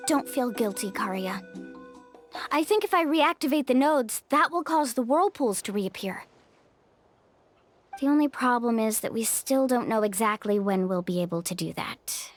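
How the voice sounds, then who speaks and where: A woman's voice: a little high, animated, normal speed, soft background music. A woman playing an upbeat, caring young tour guide in a video game, with a reassuring, confident manner.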